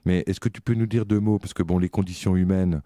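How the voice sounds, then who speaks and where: A man's voice: sits low, fairly even intonation, a little fast, dark tone, no room sound. A man interviewing a guest in a casual, conversational style, putting questions and short remarks. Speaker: radio interviewer.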